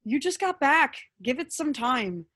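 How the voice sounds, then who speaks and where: A woman playing an earnest, higher-voiced believer. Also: big pitch swings, unhurried, fairly bright tone, full character voice. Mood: earnest, coaxing. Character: earnest, coaxing woman, a devoted hopeful friend. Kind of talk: staged reading of a comic play.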